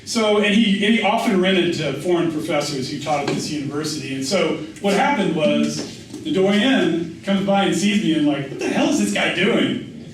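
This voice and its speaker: In a very echoey room, picked up from a distance, a middle-aged American man speaks in a slightly high voice. He talks informally like an author answering questions, telling anecdotes and circling back over his phrases. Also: normal speed, crowd noise. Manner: conversational, anecdotal, often restarts and repeats phrases for emphasis. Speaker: author and writing teacher speaking at a reading. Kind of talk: informal spoken Q&A after a reading. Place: indoor reverberant event room. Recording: echoey room, distant mic, faint noise.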